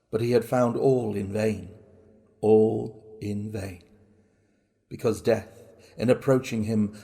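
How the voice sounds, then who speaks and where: A man acting a cunning, boastful madman confessing a murder in a dramatic gothic horror reading, with theatrical, drawn-out phrasing. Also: lowish voice, creaky, warm tone, pitched below the performer's own voice. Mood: dark, ominous. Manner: theatrical, deliberate, drawn-out words.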